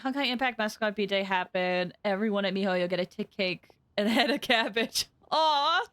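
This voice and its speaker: A woman's voice: mid-range voice, expressive, normal speed, fairly bright tone. A woman game streamer giving casual live commentary with exclamatory, gushing reactions. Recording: game music behind the voice.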